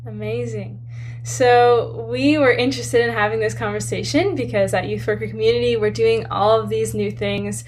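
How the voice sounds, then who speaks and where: A woman's voice: on the high side, steady tone, normal speed, darkish tone, slight background noise. A young woman hosting a podcast for the first time, asking questions in a friendly, slightly tentative way. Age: young adult. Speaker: podcast host. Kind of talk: casual interview.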